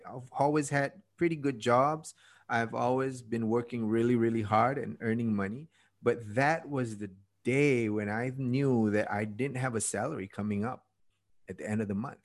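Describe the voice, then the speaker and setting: A Malaysian man in his fifties, a podcast guest, telling his story in a casual way, laughing at himself and often trailing off. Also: medium pitch. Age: 50s. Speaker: podcast guest, TED speaker. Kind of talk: conversational storytelling.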